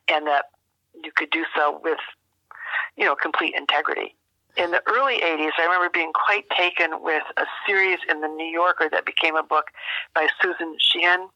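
Older female interview guest, very bright and gravelly, thoughtful, halting delivery, dull phone-like recording. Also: sits low, no room sound. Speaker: interview guest, journalist and author. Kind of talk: reflective interview conversation.

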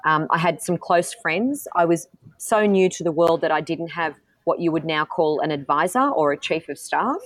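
Frank woman, lowish dry voice. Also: moderate pace, no echo. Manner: frank, self-assured, frequent restarts. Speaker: politician and guest. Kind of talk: candid interview answers.

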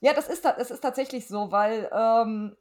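A woman's voice: a little high, moderate pace, darkish tone. A middle-aged woman, chatting casually and frankly as a podcast guest, with emphatic wording. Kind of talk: casual chat.

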